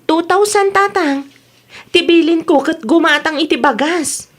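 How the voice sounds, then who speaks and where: A woman's voice: extremely high pitch, measured pace. A woman with an Ilocano accent playing a concerned, nagging grown daughter in a radio comedy drama. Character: concerned, scolding grown daughter who supports her parents.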